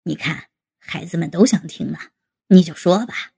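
A woman voicing a jovial, coaxing man in his fifties, an eldest brother and father, in a deep, husky, gravelly voice. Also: normal speed, mellow, full character voice. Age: 50s. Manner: jovial, urging, chuckling.

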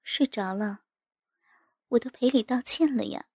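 A woman voicing an anxious, tender wife in an audio story, with a breathy, plaintive, cajoling delivery. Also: on the high side, unhurried, a little mellow, no room sound, studio quality, pitched above the performer's own voice. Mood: coaxing, tender.

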